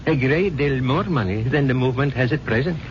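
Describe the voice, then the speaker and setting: An actor playing a suave spiritual leader who talks in a measured, courteous, persuasive way, in a 1970s radio drama. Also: male voice, mid-range voice, lightly acted. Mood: smooth, courteous. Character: suave male spiritual leader, smooth and soothing. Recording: muffled old radio broadcast with hiss.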